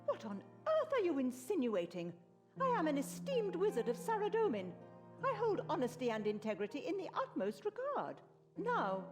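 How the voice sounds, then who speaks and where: A woman playing a proper, easily affronted wizard scholar in a fantasy video game, speaking formally and precisely. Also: high voice. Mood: indignant, lecturing. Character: esteemed female wizard of Saradomin, proper and indignant scholar.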